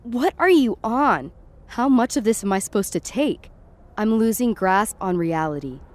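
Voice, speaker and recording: A woman's voice: medium pitch, expressive, moderate pace, lightly acted. A woman playing a frightened, sceptical, foul-mouthed young woman in a first-person audio drama. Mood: agitated, disbelieving. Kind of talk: first-person present-tense audio drama narration and dialogue.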